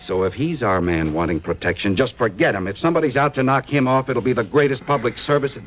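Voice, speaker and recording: An American actor playing an excitable, anxious insurance man in a 1950s radio drama, emphatic and agitated. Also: mid-range voice, quick pace, slight background noise, close to an everyday voice. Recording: dull old radio recording.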